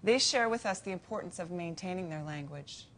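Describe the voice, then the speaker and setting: A woman presenter reads a scripted segment introduction in an even, lowish voice. A faint low rumble can be heard under the recording. She sounds like she is introducing the next piece on community television. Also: fairly quick. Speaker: program presenter.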